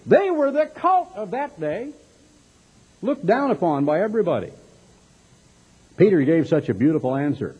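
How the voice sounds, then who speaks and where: A man's voice: high voice, sing-song, normal speed, long pauses, a little mellow, breathy edge. A man with a Texas accent preaching a sermon, reading scripture and commenting on it in an emphatic, dramatic way with rhetorical questions and mocking asides. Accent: American, Texas. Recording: dull lo-fi sermon recording.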